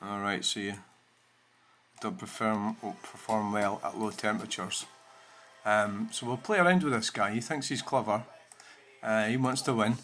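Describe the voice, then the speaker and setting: A man's voice: fairly low pitch, normal speed, a bit bright, a little hiss or hum. A Scottish man hosting his own channel, ranting indignantly and profanely about viewer comments with plenty of "you know" fillers. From Scotland. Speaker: channel host.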